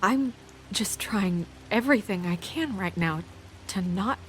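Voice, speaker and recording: A woman's voice: mid-range voice, animated, measured pace, a little hiss or hum, close to an everyday voice. An actress playing a composed, earnest woman official who speaks firmly and diplomatically in a video game. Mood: tense, troubled.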